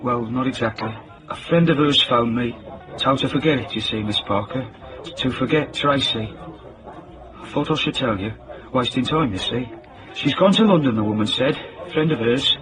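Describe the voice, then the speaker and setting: A man's voice: medium pitch, moderate pace, close to an everyday voice, poor audio. An actor playing a nervous, evasive man in a radio drama, hesitant and defensive. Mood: uneasy, evasive. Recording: prominent music behind the voice.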